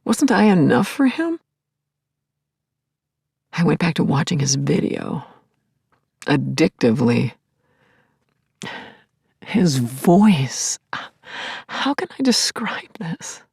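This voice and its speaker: An actress playing a grieving, lovelorn middle-aged therapist in an intimate audio play monologue, confiding and wry, lingering on words and dropping to murmurs. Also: female voice, low voice, sing-song, slow, vocal fry, pauses often, a little mellow, slightly rough, dry recording, clean studio sound, exaggerated voice. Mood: yearning, reverent. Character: grieving, lovelorn woman therapist, self-aware and yearning. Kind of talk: intimate first-person dramatic monologue.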